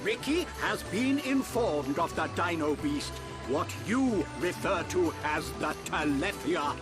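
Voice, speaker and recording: Pompous furry little village chief from a game, high voice, super drawn out n slow, grand announcements. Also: male voice, lively intonation, music in the background. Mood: solemn. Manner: ceremonial, proclaiming, drawn-out. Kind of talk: video game cutscene acting.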